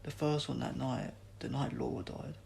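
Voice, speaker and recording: A man gives voice to a troubled young swimmer girl in a story, hesitant and full of regret, with a creaky edge. Faint noise runs behind. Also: mid-range voice, steady tone, moderate pace, mellow. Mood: grave. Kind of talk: audiobook character dialogue.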